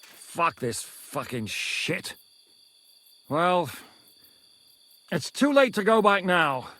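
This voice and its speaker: An actor playing a stern, foul-mouthed medieval commander in a video game, gruff and used to giving orders. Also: male voice, high voice, big pitch swings, drawn-out words, bright, forceful tone, no room sound, heavily acted. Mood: angry, grudging. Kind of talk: dramatic video game acting.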